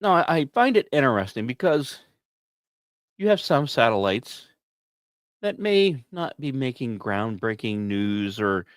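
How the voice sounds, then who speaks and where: Animated male science podcast host, emphatic delivery. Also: mid-range voice, a little slow, dry recording, studio quality. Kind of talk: scripted science news commentary with personal asides.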